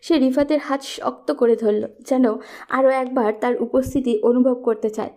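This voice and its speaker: A woman's voice: high voice, fairly even intonation, moderate pace, dark tone. A woman reading a scripted romantic story as its narrator.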